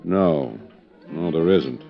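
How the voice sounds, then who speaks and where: A man's voice: a bass voice, expressive, vocal fry, exaggerated voice. An American man acting a weary, wry ex-bootlegger in his fifties, fresh out of prison, in a 1950s radio drama. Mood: wry reminiscing. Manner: world-weary, wry, slow to trust. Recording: dull old radio recording with music.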